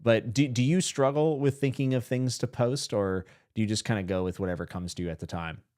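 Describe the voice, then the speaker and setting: A man's voice: mid-range voice, fairly quick, darkish tone. A man hosting a casual livestream podcast, rambling and self-deprecating, laughing often. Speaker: podcast host.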